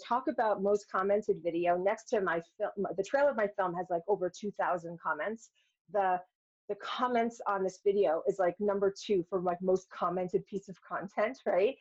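A woman's voice: medium pitch, a little mellow. Woman on a podcast, dull lo-fi sound. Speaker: podcast guest, filmmaker and speaker. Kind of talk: candid podcast conversation, personal storytelling.

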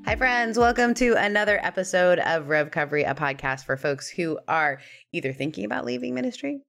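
A woman hosts a podcast in a loose, chatty manner, laughing along with her guest and dropping in an occasional um. Her voice sits on the low side for a woman. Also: animated, brisk, vocal fry, fairly bright tone, faint music behind. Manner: chatty and friendly, laughs along, adds fillers like um. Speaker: podcast host. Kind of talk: casual podcast chat.

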